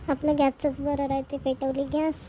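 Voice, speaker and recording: Woman as high-voiced nagging village wife. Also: flat delivery, moderate pace, dark tone, a little hiss or hum, natural voice, rough recording. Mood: grudging. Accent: Marathi, Vidarbha. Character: hard-working village wife and mother, nagging, practical. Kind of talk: cartoon comedy dialogue.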